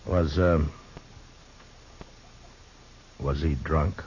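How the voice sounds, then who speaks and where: An American man playing a grave, steady frontier marshal in a 1950s radio western, measured and laconic. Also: very deep voice, slight background noise, heavily acted. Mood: calm, questioning. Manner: measured, laconic, plain-spoken. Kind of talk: 1950s American radio drama acting and first-person narration. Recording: dull 1950s radio recording with music.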